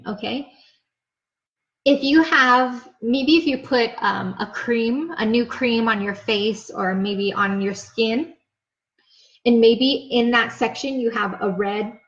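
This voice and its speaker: Slow, patient female English teacher. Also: a little high, a bit bright. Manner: patient, repeats words and checks with "okay?". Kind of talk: slow, clear ESL lesson explanation. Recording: slightly dull, lo-fi sound.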